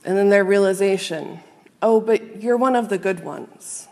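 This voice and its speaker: A woman minister giving a sermon to a congregation, speaking in a measured, earnest and reflective way with pauses. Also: medium pitch, darkish tone. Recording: long reverb of a large hall.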